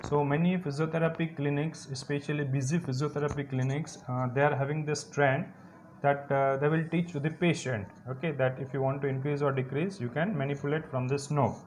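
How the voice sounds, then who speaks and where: Indian man lecturing, asking "clear?". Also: a little high, fairly even intonation, fairly quick, darkish tone, slight background noise. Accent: Indian English. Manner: explains step by step, keeps asking "clear?". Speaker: physiotherapy lecturer. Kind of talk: recorded classroom lecture.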